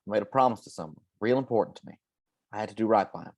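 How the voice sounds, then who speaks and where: A woman voicing a soft-spoken young male preacher in a deep, placating tone, set back from the mic. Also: rapid-fire, husky, full character voice. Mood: pleading. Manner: low, gentle, placating.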